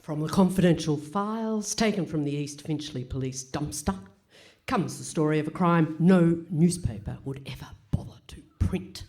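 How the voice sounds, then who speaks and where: A woman playing a straight-faced announcer, narrating absurd scripted radio comedy in a formal, deadpan manner. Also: low voice, moderate pace, natural voice. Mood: mock-solemn. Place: hall stage, live performance.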